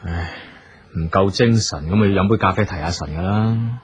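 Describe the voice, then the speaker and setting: An actor playing a domineering, suspicious company boss in a wheelchair, curt and scornful, with a Hong Kong Cantonese accent in a radio drama. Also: male voice, low voice, normal speed, natural voice. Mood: bitter, weary. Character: domineering, suspicious eldest brother, general manager in a wheelchair.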